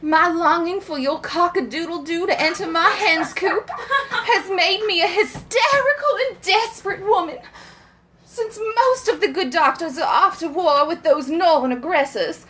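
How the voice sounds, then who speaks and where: A woman's voice: extremely high pitch, bright, forceful tone, a little hiss or hum, exaggerated voice. A woman playing a melodramatic belle wife, genteel yet bawdy, reading love letters for comedy. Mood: swooning confession. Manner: genteel, drawn-out, theatrical.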